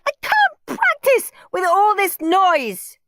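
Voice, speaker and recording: A woman's voice: high voice, very animated, fairly bright tone, no room sound, clean studio sound, pitched above the performer's own voice, heavily acted. A woman reading aloud, voicing a bossy, demanding little girl who whines and drags out her complaints. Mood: shrieking. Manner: whiny, drawn-out complaining.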